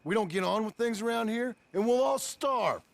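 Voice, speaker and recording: An actor playing a surly, sardonic ex-outlaw rancher with an American accent and a gravelly voice, curt and blunt, in a video game drama. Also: male voice, high-pitched, lively intonation, normal speed. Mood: irritable. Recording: faint background noise.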